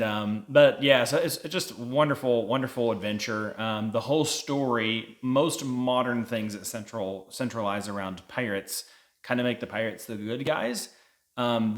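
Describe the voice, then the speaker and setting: A man giving a casual, conversational book review, explaining things as a vlog co-host. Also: on the low side.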